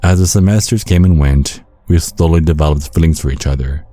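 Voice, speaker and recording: Man playing a very deep-voiced vampire storyteller. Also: fairly quick, warm tone. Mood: confiding. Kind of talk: first-person horror storytelling, ASMR.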